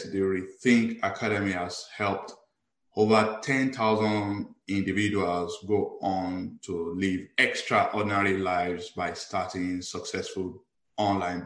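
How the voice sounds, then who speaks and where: Man, podcast host, low voice, echoey room, eager interviewer. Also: fairly bright tone. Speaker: podcast host and life coach. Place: lives in Houston. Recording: roomy echo.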